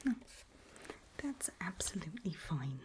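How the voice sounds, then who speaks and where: A woman doing a whispered ASMR doctor roleplay, speaking breathily in gentle, reassuring, halting short phrases close to the microphone. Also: fairly low pitch, big pitch swings, noisy background. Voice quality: breathy, mostly unvoiced whisper. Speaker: ASMR performer role-playing a doctor giving a memory test.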